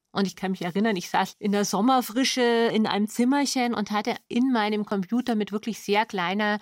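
Woman talking through her work in long sentences, normal pitch, no room echo. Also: clean studio sound. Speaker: dramaturg. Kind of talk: reflective interview statement.

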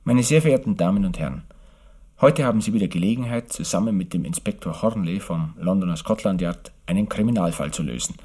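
A man with a lowish voice announces in a formal 1960s radio style. He addresses listeners courteously, and it sounds like an old broadcast recording. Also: expressive, fairly quick, a little mellow, husky.

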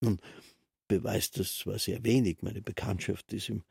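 An actor playing a self-satisfied, long-winded official in a literary radio drama, musing softly and fervently in a husky, creaky voice. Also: male voice, fairly low pitch, steady tone, a little slow, no room sound, clean studio sound, exaggerated voice. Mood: musing, explanatory. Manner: soft, self-absorbed, long-winded explaining. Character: rambling, self-satisfied male castle official, quietly fervent.

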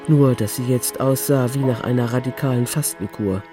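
A man's voice: mid-range voice, moderate pace. A man narrating a radio drama from a script. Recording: music clearly audible behind. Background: music.

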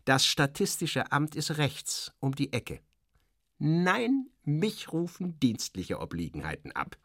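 A man reading an audiobook and voicing a stiff, evasive official who explains things in a brisk, officious, emphatic way. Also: fairly high pitch, big pitch swings, a bit bright, dry recording, clean studio sound, voice pushed up, full character voice. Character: stiff, evasive male official guiding a visitor.

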